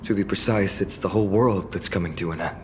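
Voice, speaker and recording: An actor playing a courteous, apologetic priest in a video game, explaining lore in a polite, measured way. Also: male voice, low voice, background noise, natural voice. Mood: polite, explanatory. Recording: loud game music underneath.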